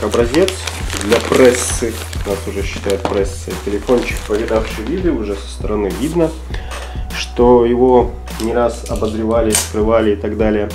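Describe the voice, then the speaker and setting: Slightly hoarse man unboxing a phone. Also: fairly low pitch. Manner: chatty, thinking aloud with frequent "uh" fillers. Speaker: tech reviewer. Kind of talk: casual unboxing commentary. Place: office desk indoors.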